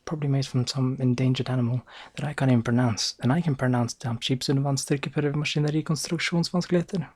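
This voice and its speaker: A man with a Northern English accent playing a boastful, bumbling noir private eye, narrating deadpan with hesitant asides in an ASMR roleplay. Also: medium pitch, fast, few pauses, no room sound, lightly acted. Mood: deadpan, rambling.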